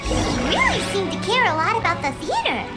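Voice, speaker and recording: A very high, squeaky little fairy, swooping wildly through every line. She is a chatty, curious game companion with a childlike, exclamatory way of talking, over prominent background music. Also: huge pitch swings, fairly quick, heavily acted. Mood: curious, surprised. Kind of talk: video game character acting.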